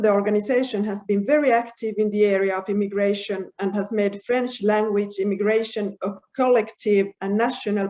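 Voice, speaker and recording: Formal woman moderator, mid pitch, dull lo-fi sound. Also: mellow. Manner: courteous, formal. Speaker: webinar moderator. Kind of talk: moderating introductions.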